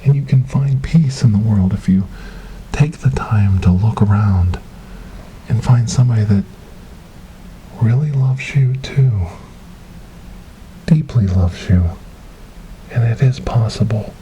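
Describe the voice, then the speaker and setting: Slow, whispery, grieving man acted by a man. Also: on the low side, slight background noise, low-quality recording. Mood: earnest, consoling. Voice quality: breathy, often whispered. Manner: slow, halting, earnest, soft-spoken. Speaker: ASMR storyteller. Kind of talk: ASMR dramatic monologue. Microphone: close binaural microphone.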